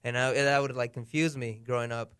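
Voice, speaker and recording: A young man from the United States, a guest in a casual chat, telling frank stories in a relaxed way. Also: medium pitch, fast talker, clean tone, dry recording, studio quality. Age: young adult.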